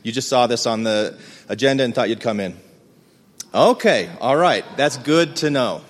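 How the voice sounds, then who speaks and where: A man's voice: medium pitch, lively intonation, normal speed. Rambling man presenting in a reverberant hall. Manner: rambling, hesitant, self-deprecating. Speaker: conference presenter. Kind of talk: casual conference talk. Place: conference room.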